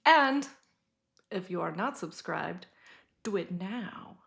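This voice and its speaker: A woman's voice: on the low side, expressive, slow pace, gravelly, a bit bright. A woman co-hosting a casual book chat podcast in a playful, exclamatory way. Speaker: podcast co-host.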